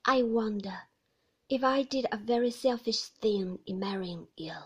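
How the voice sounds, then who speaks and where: A woman reading an audiobook voices a moody, brooding older husband in a slow, hushed half-whisper. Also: fairly high pitch, slightly rough, highs cut off.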